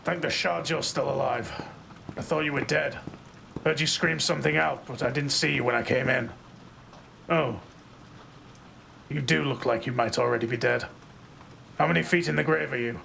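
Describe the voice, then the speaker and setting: Brash, protective tough-guy marshal, male actor: very gravelly, blunt, audio drama with faint ambience behind. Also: medium pitch, fairly even intonation, moderate pace, pauses often, sharp tone, slight background noise. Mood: gruff concern. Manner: blunt, swearing, brusque. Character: brash, violent, foul-mouthed male marshal, gruffly protective.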